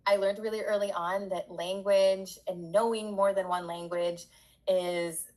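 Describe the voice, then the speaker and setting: A woman's voice: mid-range voice, steady tone, a little slow, a little mellow, low-quality recording. A bilingual Spanish and English speaking woman who grew up in Puerto Rico, answering conversationally as an interview guest, explaining things in a talkative, emphatic way. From born in the US, raised in Puerto Rico.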